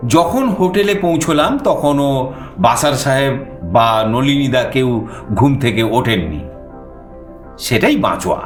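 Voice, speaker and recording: A man narrating a suspense story in the first person with expressive, dramatized delivery. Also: mid-range voice, echoey room.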